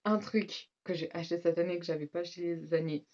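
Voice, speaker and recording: Low-voiced teen girl with a cold. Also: steady tone, quick pace, a little mellow, dull audio. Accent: French. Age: teens. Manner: chatty, rambling, many fillers and asides to viewers. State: slightly congested, has a cold. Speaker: vlogger. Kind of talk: casual back-to-school haul vlog.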